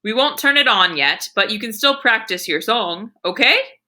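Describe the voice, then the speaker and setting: A woman's voice: a little high, lively intonation, moderate pace, bright, forceful tone, slight background noise. A woman voicing a patient, weary male schoolteacher in a storybook, coaxing tactfully with hesitant questions. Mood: encouraging.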